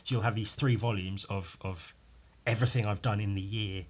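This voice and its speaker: A man with a somewhat low, croaky voice talks solo in a loose, rambling podcast style. He is informal and swears freely, often undercutting himself or ending a thought with a little tag question. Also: steady tone, a little fast, a little mellow. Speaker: podcast host and illustrator. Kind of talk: casual rambling solo chat.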